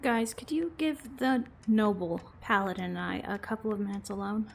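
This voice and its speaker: A woman's voice: mid-range voice, moderate pace, creaky, husky. A woman acting a patient but exasperated game master in a comedy audio drama, explaining and pleading wearily. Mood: hurt pleading. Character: patient, exasperated female game master and put-upon girlfriend.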